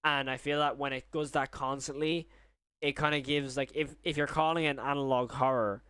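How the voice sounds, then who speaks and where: A man's voice: mid-range voice, steady tone, a little fast, a bit bright, smooth, no echo. A young man chatting casually on a live stream as he reacts to videos and chat, rambling and often rephrasing himself mid-thought.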